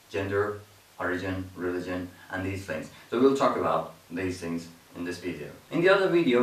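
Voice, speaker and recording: Man, lowish voice, patient grammar teacher, repeats himself, distant mic, echoey room. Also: a bit bright. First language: Persian. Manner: patient, repeats himself, often checks with "okay?". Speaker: English teacher. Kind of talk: explanatory grammar lesson.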